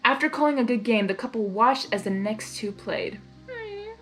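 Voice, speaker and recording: A woman reading fan fiction aloud in an expressive, giggly way, narrating and reacting as she goes. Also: medium pitch, a little fast, soft background music.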